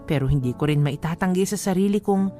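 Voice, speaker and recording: Woman acting lovelorn girl narrating a radio drama, low voice, plaintive, music clearly behind. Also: fast, lightly acted. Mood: wistful, hurt. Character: lovelorn young woman narrating her relationship troubles. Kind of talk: first-person scripted drama narration.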